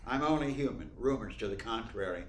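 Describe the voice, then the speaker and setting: A frank, wry theater director, played by a man in a radio drama. His delivery is lively and swings widely in pitch, in a roomy echo with faint noise. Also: fairly quick, natural voice. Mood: wry, rebuffing. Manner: wry, blunt. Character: Bill, blunt, wry male theater director. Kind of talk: radio play acting.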